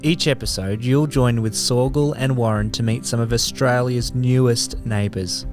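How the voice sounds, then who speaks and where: A man reads a scripted podcast introduction in a smooth, even voice at a mid pitch. Guitar music plays clearly beneath him. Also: moderate pace. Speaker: podcast announcer.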